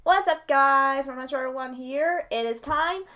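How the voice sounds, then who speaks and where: A woman's voice: sits high, slow pace, pushed and bright. A woman hosting a reaction video, chatting casually.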